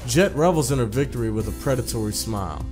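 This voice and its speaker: A man's voice: medium pitch, expressive, fast talker. Male let's player, mid-range voice, casual narration of game text with music behind. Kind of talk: casual commentary and reading game text aloud.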